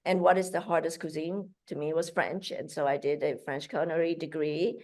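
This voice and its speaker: Animated Malaysian-born woman, halting speech. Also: sits low. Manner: animated, many fillers and restarts. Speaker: guest, founder of a kids' cooking program. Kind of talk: casual interview talk.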